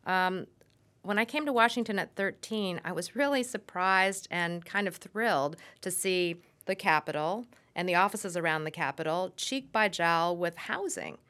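A middle-aged American woman answering as an expert guest in an interview, explaining in a measured way and often correcting herself mid-sentence. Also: on the low side, a bit bright. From suburbs of Saint Louis, Missouri, USA. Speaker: urban planning expert, guest. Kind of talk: thoughtful spoken interview answers.

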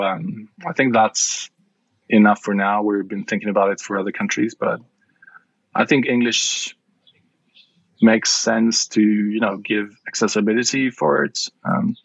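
A middle-aged man from Sweden with a Swedish accent, answering interview questions with many pauses and restarts. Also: lowish voice, creaky, a bit bright, dry recording, lo-fi sound. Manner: hesitant, many fillers and restarts. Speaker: wine guide founder. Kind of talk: podcast interview.

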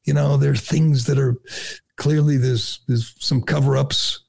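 A middle-aged man holds forth as a talk radio host. His voice has a heavy gravelly fry and a husky edge. He speaks in a sarcastic, mocking tone, with lots of "uh" and self-corrections. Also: medium pitch, steady tone, measured pace, a little mellow. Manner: sarcastic, mocking, with frequent filler "uh" and restarts. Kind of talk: opinionated talk radio monologue.

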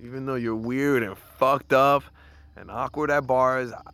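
An actor playing a cynical, resigned, hard-drinking war veteran around thirty, talking crudely and bluntly in audio drama style. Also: male voice, medium pitch, steady tone, measured pace, clean tone. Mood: drunkenly fond. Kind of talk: naturalistic audio drama acting. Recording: loud music behind the dialogue.

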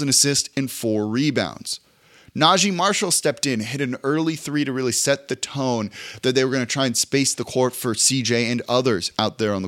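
A hoarse man hosting a solo sports podcast, running through a game recap with brisk, emphatic stat-heavy delivery while fighting off illness. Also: mid-range voice, fairly bright tone.

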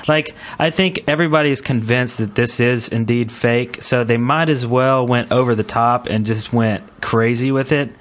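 A man with a medium-pitched voice co-hosts a gaming podcast. He chats casually, feeling his way through his thoughts in a hesitant, meandering delivery. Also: normal speed, hardly any pauses. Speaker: podcast co-host.

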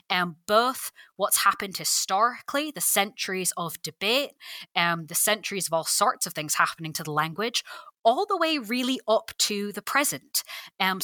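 A woman hosts a book interview in an upbeat manner, her voice bright and forward. She fills her pauses with frequent ums, and the sound is dry and close. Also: medium pitch, dry recording. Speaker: podcast host. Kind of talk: interview hosting.

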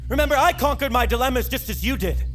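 High-voiced haughty proud young son. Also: male voice, animated, quick pace, fairly bright tone. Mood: defiant. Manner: formal, haughty, insistent. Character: proud, ambitious, defiant son of a mountain ruler. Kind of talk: fantasy audio drama acting.

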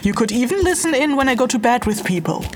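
A woman acting a sharp, sceptical, teasing spirit-mender in a fantasy audio drama, speaking in a dry, brisk manner. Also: a little high, lively intonation, crowd noise, natural voice. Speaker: voice actor in audiodrama. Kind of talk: naturalistic fantasy audiodrama dialogue.

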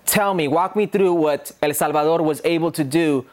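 A man's voice: fairly high pitch, normal speed, a little mellow. Assured man analyzing soccer. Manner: assured, explaining. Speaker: podcast co-host, former forward. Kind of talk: sports talk show analysis.

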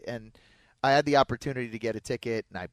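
A man's voice: medium pitch, rapid-fire, dry recording. An American man hosting sports talk radio in an emphatic, opinionated way, close on a studio mic. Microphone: close studio broadcast microphone.

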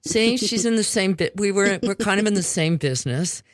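A woman's voice: mid-range voice, a little fast, no room sound. Chatty rambling woman radio host. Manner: rambling, chatty, swears casually. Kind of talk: casual radio interview. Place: community radio studio.

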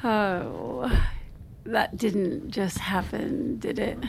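A woman's voice: medium pitch, drawn-out words, vocal fry, background noise, heavily acted. An actress playing an awkward, flustered newly single mother in a naturalistic audio drama, speaking hesitantly and stammering nervously.

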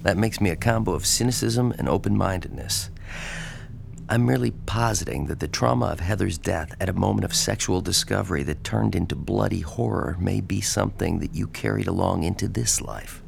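Deeply gravelly low-voiced male journalist. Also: fairly quick, a little hiss or hum. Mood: earnest, persuasive. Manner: casual, persuasive. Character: smooth-talking male journalist, pushy yet reassuring. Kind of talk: audio drama dialogue. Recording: music under the dialogue.

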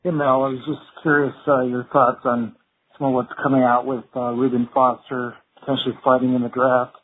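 Flat-toned male reporter on phone. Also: mid-range voice, a little fast. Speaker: sports reporter asking a question. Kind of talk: casual press question. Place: telephone conference call. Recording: tinny phone line, faint noise.

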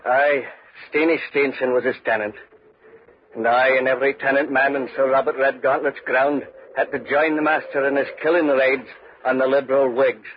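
A man's voice: medium pitch, steady tone, normal speed, close to an everyday voice. An actor playing a humble, uneasy tenant farmer who tells his tale in earnest, folksy 1940s radio style. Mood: grave, haunted. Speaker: narrator and lead character. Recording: muffled, noisy 1940s radio recording.

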